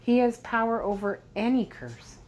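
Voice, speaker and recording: A woman's voice: a little high, animated, normal speed, a little mellow, a little hiss or hum, voice pushed up, natural voice. Frank, heartfelt young woman Lila, read by a woman. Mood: gentle, sincere.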